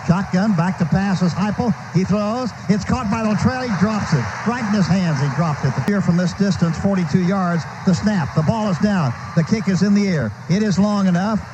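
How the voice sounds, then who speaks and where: Male American radio play-by-play announcer, high-pitched, clipped live football calls, noisy archival broadcast with stadium din. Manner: short clipped phrases calling action as it happens.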